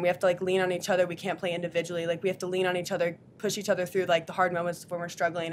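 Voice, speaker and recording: A young woman talks fast and flat, filling nearly every phrase with "like". She answers press questions as a college player into a table mic, with a faint rumble behind her. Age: young adult. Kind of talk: postgame press conference answers. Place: press room, seated at a table. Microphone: table mic on a stand.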